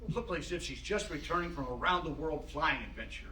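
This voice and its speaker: A man's voice: fairly high pitch, animated, fast, bright, forceful tone. A man reading stage directions as scripted narration for a staged play reading. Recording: echoey room, distant mic, noisy.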